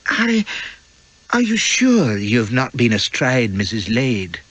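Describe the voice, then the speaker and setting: A man voicing a sweet, jealous, scatterbrained young Victorian wife, breathy and pleading as he reads the story. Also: medium pitch, huge pitch swings, slow, fairly bright tone, no echo, exaggerated voice. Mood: innocent. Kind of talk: audiobook character voice.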